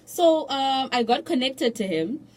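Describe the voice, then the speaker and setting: A young woman from Zimbabwe who is a musician, chatting as a guest in a radio studio and telling stories with restarts and soft laughs. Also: high voice, animated, a little slow, rough recording. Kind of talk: casual radio interview chat.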